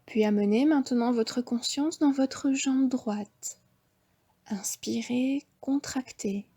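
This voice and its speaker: A woman with a French accent guiding a yoga nidra relaxation, giving slow, soft, half-whispered breathing cues. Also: high voice, husky. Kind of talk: guided relaxation instruction.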